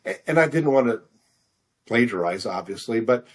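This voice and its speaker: A man's voice: mid-range voice, lively intonation, normal speed. A middle-aged man speaking casually as an interview guest, hesitant and self-deprecating, often repeating words as he searches for the next one. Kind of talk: casual conversational storytelling.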